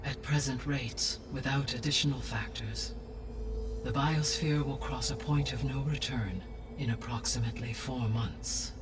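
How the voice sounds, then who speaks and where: A man playing a calm, formal artificial intelligence in a video game, with precise, measured diction. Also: mid-range voice, distant mic, over music, lightly acted. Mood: calm, informative. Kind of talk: video game cutscene dialogue.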